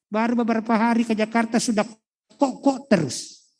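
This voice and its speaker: A middle-aged man, a history teacher lecturing students in a fatherly way and pausing on fillers as he thinks. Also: extremely high pitch, steady tone, a little mellow. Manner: fatherly, calls listeners child, hesitant fillers. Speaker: history teacher, guest speaker.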